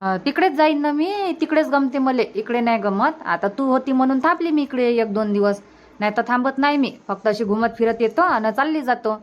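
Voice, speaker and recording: A homely village grandmother from a cartoon comedy , voiced by a woman, speaking in Varhadi Marathi dialect. She has a very high-pitched voice. The recording is slightly muffled. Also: animated, fairly quick. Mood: matter-of-fact.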